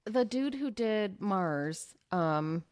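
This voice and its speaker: A woman co-hosting a casual podcast, relaxed and chatty, with lots of "like" as she talks. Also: mid-range voice, drawn-out words, dry recording, studio quality. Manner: relaxed, chatty, says "like" a lot. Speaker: podcast co-host. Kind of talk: casual chat. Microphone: studio mic with headphones.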